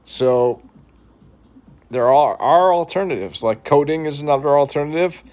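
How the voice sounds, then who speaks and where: Man, New England accent, earnest, halting, phone at arm's length, living room couch. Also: on the high side, lively intonation, a little mellow, soft background music. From New England, Boston. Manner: earnest, halting, many restarts and fillers. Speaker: vlogger. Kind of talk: reflective talk to camera. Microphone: phone camera at arm's length.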